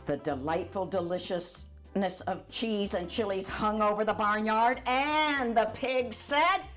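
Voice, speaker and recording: An older woman reads a children's storybook aloud in an animated, chatty way, adding asides for young listeners. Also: mid-range voice, measured pace, faint music behind. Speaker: volunteer storyteller.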